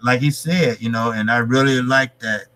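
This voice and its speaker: A man's voice: medium pitch, steady tone, moderate pace. A man in his fifties, a guest in a casual interview, speaking hesitantly with many restarts. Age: 50s. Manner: hesitant, many fillers and restarts, laughs softly. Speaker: guest, boxing channel creator.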